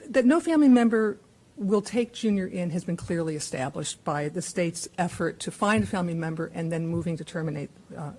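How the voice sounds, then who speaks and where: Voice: medium pitch, darkish tone, slightly rough, low-quality recording. A witness at a public hearing, earnestly reading a prepared account with small restarts. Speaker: advocacy group witness. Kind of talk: formal prepared testimony. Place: hearing room.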